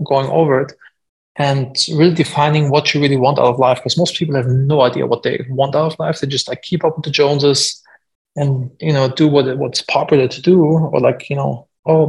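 German-accented man with a gravelly voice. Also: medium pitch, darkish tone, poor audio. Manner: conversational, frequent fillers, self-deprecating. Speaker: guest entrepreneur. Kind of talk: casual podcast interview.

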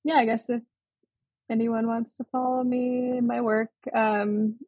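A young adult woman from Hawaii, a guest artist speaking in a relaxed, thoughtful way with many pauses and restarts. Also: fairly high pitch, slightly rough, no echo, faint music behind. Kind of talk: casual interview over a call.

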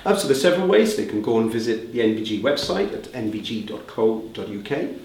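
A man's voice: mid-range voice, very animated, fairly quick, a little mellow, a little hiss or hum. A Welsh man heading a reseller group, answering interview questions in a conversational way with fillers and restarts. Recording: roomy, distant mic with faint ambience.

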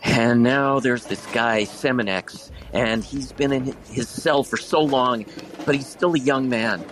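A man playing a daydreaming, hesitant high school senior with a head full of sci-fi stories, in an audio drama. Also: measured pace, a bit bright, natural voice. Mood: absorbed storytelling.

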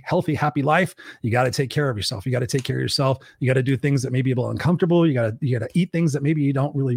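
A man hosting a live podcast, hoarse from a cold, talking in an energetic pep-talk way. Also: medium pitch, dry recording, studio quality. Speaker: podcast host.

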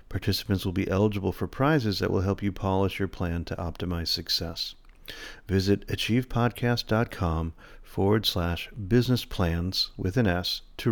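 A deep-voiced man hosts a podcast in a measured, even way, sometimes stumbling over his words as he chats with his guest. His voice is very low and sits in a dry, close recording with no room sound. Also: no echo. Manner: measured, reads sponsor spots then chats with hesitant restarts. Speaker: podcast host. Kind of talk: interview podcast.

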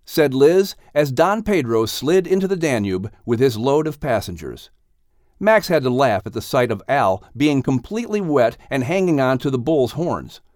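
A man's voice: medium pitch, lively intonation, moderate pace, darkish tone, no echo, studio quality. A man reading scripted narration for a children's audiobook.

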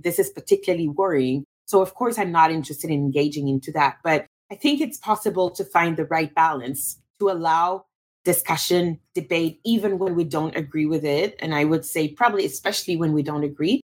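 Low husky-voiced woman from Cameroon. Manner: thoughtful, many restarts and fillers. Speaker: guest. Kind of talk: interview answer.

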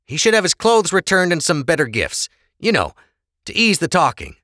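A man's voice: a little high, big pitch swings, moderate pace, bright, forceful tone, no room sound, clean studio sound, voice pushed up. A man voicing stern, scornful prison guards, raising his voice into a harsh, scolding tone. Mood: scheming.

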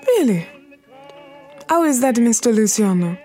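A woman's voice: fairly high pitch, big pitch swings, measured pace, mellow. An actress playing a proud, defiant Harlem crime queen in a period radio drama, elegant and commanding, with formal, precise diction. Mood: poised, guarded.

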